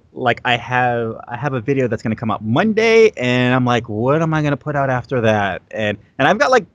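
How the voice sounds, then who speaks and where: A man's voice: medium pitch, no room sound, lo-fi sound. A man talking as a guest in a casual interview, rambling and repeating his words with many fillers. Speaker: guest content creator.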